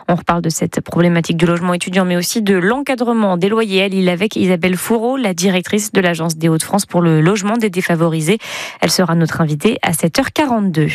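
A woman's voice: fairly low pitch, no room sound, studio quality. Woman reading regional radio news. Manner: crisp, measured news delivery. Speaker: newsreader.

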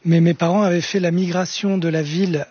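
A soft-spoken man tells his stories as a radio studio guest. His voice sits a little high and has a husky edge. The sound is dry and somewhat dull. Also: fairly even intonation, brisk, no room sound. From France. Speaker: guest musician. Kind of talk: radio talk show guest.

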